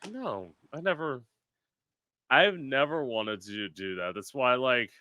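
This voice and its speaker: Bright-voiced chatty man, dry sound. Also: medium pitch, slow, dry recording, lo-fi sound. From South Florida. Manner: chatty, lots of "like" fillers. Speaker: podcast host. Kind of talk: casual podcast chat.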